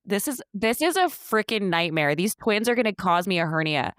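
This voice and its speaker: A woman co-hosting a podcast, chatting casually as she recaps a movie, with quick asides and restarted sentences. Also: medium pitch, animated, a bit bright, clean tone, no room sound, studio quality. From United States. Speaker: podcast co-host.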